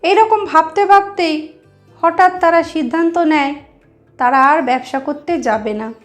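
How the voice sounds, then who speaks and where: A woman's voice: very high voice, measured pace, soft background music. A woman narrating a moral story as a channel host, explaining things directly to her listeners.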